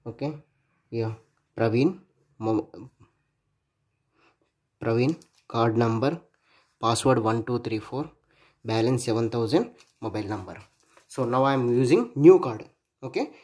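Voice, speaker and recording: A man narrating a hands-on electronics project demo at a workbench, thinking aloud in a halting way and spelling out digits and letters as he goes. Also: medium pitch, mellow. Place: indoors at a workbench.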